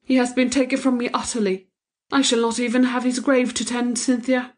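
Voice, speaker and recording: Woman doing a high, bitter grieving mother. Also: flat delivery, fairly quick, darkish tone, natural voice. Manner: bitter, intense. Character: grief-stricken proud middle-aged mother.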